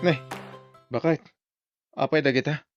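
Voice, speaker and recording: A man's voice: on the high side, fairly even intonation, unhurried, no room sound, lo-fi sound, faint music behind, natural voice. A man with an Ilocano accent playing a hard-drinking husband who turns belligerent or sheepish in a radio-style drama. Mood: sheepish, apologetic.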